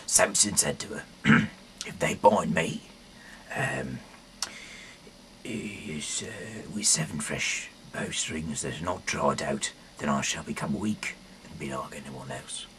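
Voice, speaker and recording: A man reading scripture aloud in a halting, rambling way, often correcting himself and dropping in casual asides. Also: rumbling low, a bit bright, slight background noise. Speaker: amateur reader of a Bible audio series.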